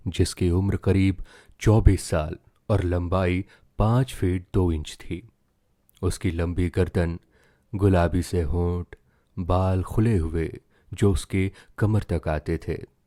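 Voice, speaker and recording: A man's voice: deep voice, mellow, no echo, clean studio sound. A man narrating a scripted story as a dramatic storyteller.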